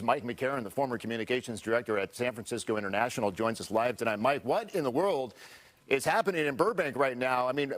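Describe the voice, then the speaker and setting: An American man anchoring a live television newscast. He has a mid-pitched voice and moves between reading reports and putting questions to a guest.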